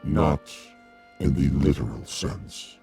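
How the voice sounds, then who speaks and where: Extremely deep, formal alien emissary. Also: male voice, gravelly, warm tone, slightly rough, faint music behind, heavily acted. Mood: coolly formal. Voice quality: synthetic-sounding, very deep. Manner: measured, formal, emotionless. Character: nine-foot alien emissary, courteous, coldly formal, unearthly. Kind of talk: radio drama acting.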